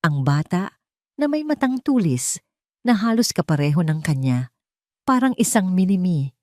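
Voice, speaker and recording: A woman's voice: lowish voice, very animated, unhurried, warm tone, dry recording, clean studio sound. A woman with a Filipino accent narrating a scripted romantic drama in a solemn, melodramatic way. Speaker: storyteller. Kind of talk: scripted dramatic audio love story narration.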